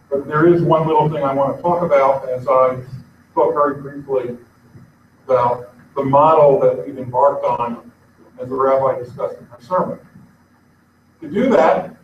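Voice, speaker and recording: Man, earnest conversational address, far from the mic, dull lo-fi sound, faint hiss. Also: mid-range voice. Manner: earnest, conversational, pauses to look things up. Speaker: synagogue congregant giving an address. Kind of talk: informal congregational address. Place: synagogue sanctuary. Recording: echoey, distant, dull live stream.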